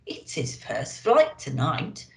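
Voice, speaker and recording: A woman's voice: low-pitched, huge pitch swings, slow, gravelly, reverberant, pitched below the performer's own voice, full character voice. A woman voicing a little old male elf in a children's storybook, hesitant and keen to explain. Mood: apologetic.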